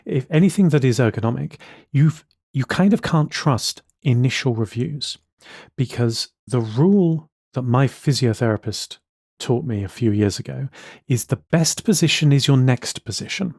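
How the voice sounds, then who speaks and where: A man co-hosting a podcast, explaining things casually in quick bursts. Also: medium pitch, expressive, vocal fry, darkish tone, no room sound, studio quality. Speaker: co-host.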